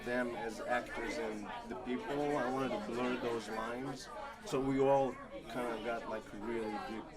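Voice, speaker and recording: A man's voice: mid-range voice, fairly even intonation, measured pace. A man from Israel, a filmmaker being interviewed, talking in a hesitant way with many fillers and restarts. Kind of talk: casual interview chat. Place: large indoor hall at a film expo. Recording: noisy crowded hall. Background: loud crowd chatter.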